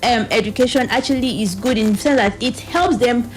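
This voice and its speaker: A woman's voice: a little high, fairly quick, soft background music, low-quality recording. A woman with a Nigerian accent speaking as a guest on a radio panel, explaining earnestly and often voicing other people's questions as she goes. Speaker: guest from a youth reproductive health organisation.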